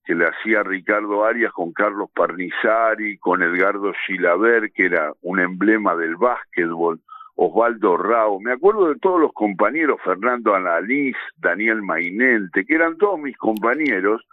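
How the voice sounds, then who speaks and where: A man's voice: fairly low pitch, expressive, normal speed, dry recording. An older Argentine man reminiscing conversationally as an interviewee. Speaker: radio veteran, interviewee. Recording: tinny phone-like line, dry.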